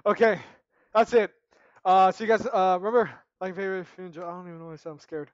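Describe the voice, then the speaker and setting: Jumpy young man, hoarse, high-voiced. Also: fairly even intonation, quick pace, dry recording, muffled recording. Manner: jumpy, panicky, screams and mutters. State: losing his voice, hoarse. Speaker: gaming YouTuber. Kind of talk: horror game let's play commentary. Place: small room at home, indoors. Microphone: headset mic.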